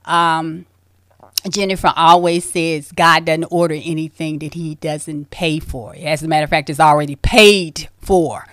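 A woman hosting a faith-based interview, telling her own story in an emphatic, animated way. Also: low-pitched, a little slow, no room sound, studio quality. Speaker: host.

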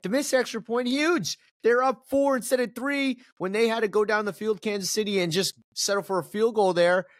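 A man's voice: high-pitched, brisk, hardly any pauses, no echo, clean studio sound. A man hosting a podcast in casual sports chat, talking emphatically, repeating words and swearing casually. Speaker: podcast host.

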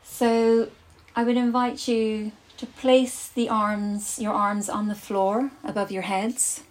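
Slow-paced woman guiding a relaxation class. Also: mid-range voice, little pitch movement, poor audio. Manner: soothing, instructive, with long pauses between short phrases. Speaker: yoga nidra instructor.

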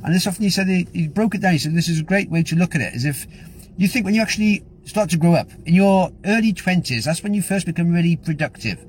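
A man's voice: high-pitched, fast talker, slight background noise, poor audio. A man giving an upbeat, rambling motivational talk as host of his own show. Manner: upbeat, rambling with frequent restarts. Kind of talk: casual motivational talk to camera.

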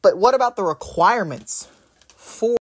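A man hosting a solo talk show, rambling through his views with many fillers and restarts, his voice slightly raspy from a runny nose. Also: high-pitched, expressive, moderate pace. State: runny nose, maybe a cold. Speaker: podcast host. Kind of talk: casual solo political commentary.

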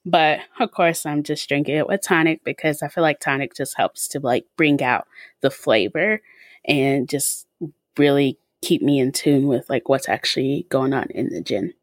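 A woman's voice: rumbling low, moderate pace, no echo, clean studio sound. A woman co-hosting a casual podcast, chatting loosely with many "like"s and "um"s. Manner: chatty, lots of likes and ums. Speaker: podcast co-host. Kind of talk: casual chat.

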